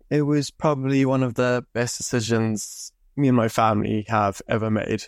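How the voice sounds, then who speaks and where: A man's voice: medium pitch, smooth, dry recording. A young man from Zimbabwe hosting a podcast, telling stories in a loose, chatty way and swearing freely into a close mic. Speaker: podcast host. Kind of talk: casual storytelling monologue.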